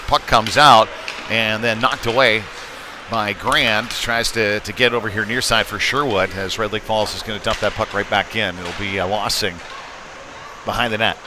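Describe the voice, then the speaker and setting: A man calling live hockey play-by-play in a halting, conversational way, with frequent hesitations. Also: lowish voice. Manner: halting, filler-heavy, conversational delivery. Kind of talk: live amateur sports play-by-play commentary. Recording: clear background noise, live venue ambience.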